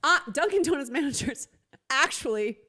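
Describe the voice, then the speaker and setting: A woman's voice: sits high, animated, fairly quick, sharp tone. A young woman from the Boston area co-hosting a podcast in casual, profane, emphatic banter, laughing often. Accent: General American, Boston accent trained away. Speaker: co-host.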